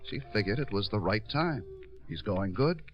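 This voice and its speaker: Low-voiced, pleading American man as acted. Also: lively intonation, muffled recording, faint music behind, natural voice. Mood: agitated, defensive. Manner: earnest, halting, insistent. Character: earnest, pleading male writer-director, loyally defending his star. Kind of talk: 1950s radio drama acting.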